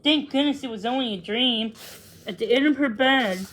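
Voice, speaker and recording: A woman's voice: a little high, normal speed, fairly bright tone, low-quality recording. A woman reading a children's storybook aloud as a read-along storyteller, stumbling now and then over the text.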